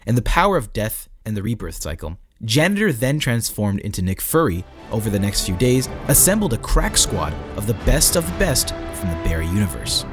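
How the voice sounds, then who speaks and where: A man reading a scripted article as narrator, with playful, theatrical emphasis. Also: lowish voice.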